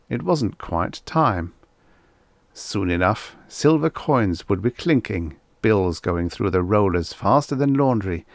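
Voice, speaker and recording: A man narrates a scripted story in a measured, expressive way. His voice sits on the low side with lively movement in pitch, and the recording is dry and close. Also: dry recording. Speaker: audiobook narrator.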